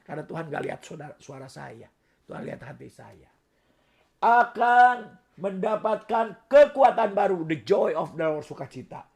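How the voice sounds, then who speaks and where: A middle-aged male pastor preaches in a hoarse, worn-out voice that sits high for a man. His gravelly tone rasps through soft asides and then rises into loud, emphatic declarations. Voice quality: hoarse, raspy voice. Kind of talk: sermon.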